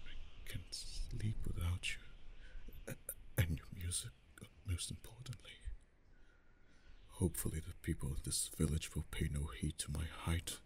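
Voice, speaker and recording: A man's voice: sits low, a little slow, darkish tone. A man voicing a proud, anxious dragon in human form in an ASMR roleplay, with haughty, theatrical, brooding delivery. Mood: flustered. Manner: theatrical, brooding muttering with haughty archaic phrasing.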